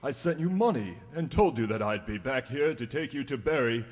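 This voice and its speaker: A man playing a devoted, earnest young bridegroom with a gentle, deferential manner in a radio play. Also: medium pitch, expressive, fairly quick, close to an everyday voice. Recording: echoey hall.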